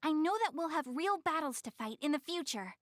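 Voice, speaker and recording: Cute anime goddess girl, animated intonation, flustered, proud, video game dialogue, very dry close sound. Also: high voice, fairly quick, no room sound. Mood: bashful, earnest. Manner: bashful, defensive, stammering when embarrassed. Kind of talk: anime video game voice acting, addressing the player.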